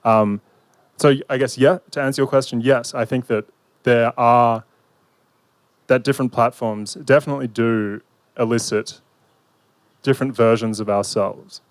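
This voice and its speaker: Smooth-voiced young man with a handheld mic. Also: mid-range voice, steady tone, normal speed, long pauses, no room sound. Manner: thoughtful, many fillers and restarts. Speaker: facilitator. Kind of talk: hesitant panel discussion. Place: outdoor park pavilion.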